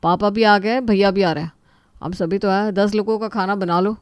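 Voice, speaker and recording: A woman's voice: mid-range voice, steady tone, moderate pace, clean tone, dry recording, close to an everyday voice. A woman playing an easygoing young husband, a dutiful son who explains things matter-of-factly.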